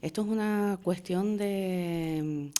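Mellow-voiced woman. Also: fairly low pitch, slow. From Santa Cruz de Tenerife. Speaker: guest, tourism official. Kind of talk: conversational interview.

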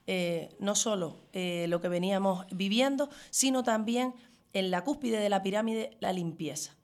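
A woman's voice: medium pitch, moderate pace, a little mellow. A woman answering in an interview as a councillor, emphatically listing her points phrase by phrase. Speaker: town councillor.

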